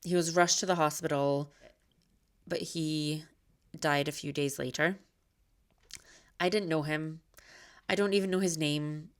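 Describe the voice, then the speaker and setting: Podcast host, thirties, woman from Scotland; light Scottish-American blend, lowish voice, earnest and open. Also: steady tone, unhurried, pauses often. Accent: slight Scottish mixed with American. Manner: earnest and candid, conversational. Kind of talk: personal podcast monologue.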